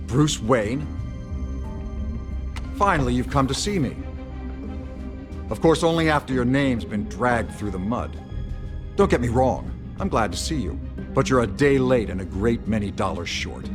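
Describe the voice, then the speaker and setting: An actor playing a smug, self-important middle-aged politician in a video game, pompous and condescending. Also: male voice, medium pitch, lively intonation, unhurried, long pauses, music in the background, lightly acted. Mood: smug, gloating. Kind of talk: dramatic video game acting.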